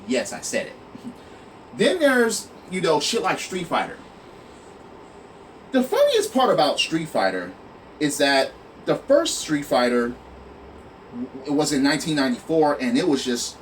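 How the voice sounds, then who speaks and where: A man giving an exasperated, emphatic, profanity-laced opinion rant to his online viewers. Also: a little high. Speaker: online video host. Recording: noisy, distant mic, room sound.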